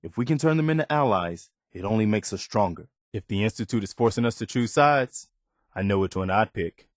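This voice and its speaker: A man plays a loyal, duty-bound militia officer in a video game. His voice sits fairly low and sounds close and dry, with no room around it. He talks plainly and sincerely, humble and a little halting. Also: animated, no room sound, lightly acted. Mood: earnest, resolute. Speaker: game character. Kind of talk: video game dialogue acting.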